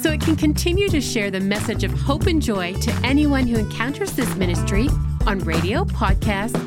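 Woman announcer, lively intonation, scripted radio show intro and outro, prominent music bed. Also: a little high, normal speed. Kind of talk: scripted promotional narration. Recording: loud music behind the announcer.

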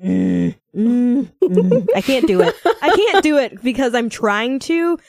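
A woman's voice: a little high, expressive, takes it slowly, gravelly, a little mellow, dry recording. Giggly American woman chatting casually. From Minnesota, United States. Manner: giggly, playful, rambling. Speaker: podcast co-host. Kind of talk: casual chat.

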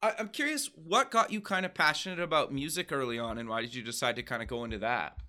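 A man's voice: medium pitch, fast talker, sharp tone. A man hosting a podcast and radio show, presenting and interviewing. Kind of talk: scripted show intro, then casual interview.

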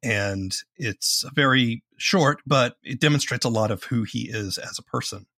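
A man's voice: medium pitch, a little slow, gravelly, pushed and bright, no echo, studio quality. A man hosting a podcast, asking questions in a conversational interview style.

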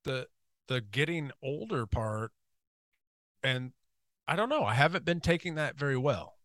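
A man's voice: medium pitch, expressive, a little slow, fairly bright tone, no echo, clean studio sound. A middle-aged man hosting a podcast in casual chat, rambling and candid with frequent restarts. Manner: rambling, restarts often, laughs easily, candid. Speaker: podcast host.

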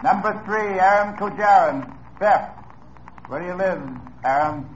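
An actor playing a police lieutenant in an old radio drama, questioning suspects in a brisk, clipped way. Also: male voice, high voice, slight background noise. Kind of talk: 1950s radio drama acting. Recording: muffled old radio broadcast.

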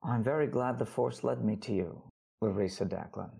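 Husky, gravelly alien officer played by an actor. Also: male voice, fairly low pitch, normal speed, mellow. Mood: admiring, tender. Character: calm, earnest male alien officer, would-be Jedi. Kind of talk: audio drama dialogue.

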